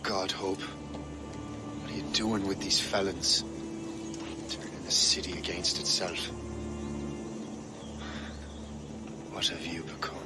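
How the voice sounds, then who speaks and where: An actor playing a troubled, brooding young sea captain in a video game. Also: male voice, deep voice, steady tone, unhurried, loud music behind the voice. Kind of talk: video game cutscene acting.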